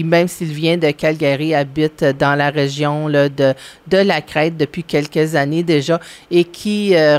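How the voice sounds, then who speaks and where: A correspondent chats on the radio, halting often and restarting sentences. The pitch sits right in the middle range. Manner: hesitant, many fillers and restarts. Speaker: regional correspondent. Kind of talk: radio chat.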